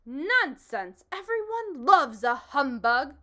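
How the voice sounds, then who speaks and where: A woman voicing a boastful, pompous old male humbug bug who blusters loudly. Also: high-pitched, sing-song, unhurried, voice pushed up, heavily acted. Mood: blustering. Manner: blustering, grandiose, loud.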